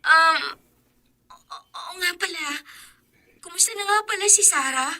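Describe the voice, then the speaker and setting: An actress playing a wistful old friend from the home village in a radio drama. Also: female voice, very high voice, a little slow, bright, forceful tone, heavily acted.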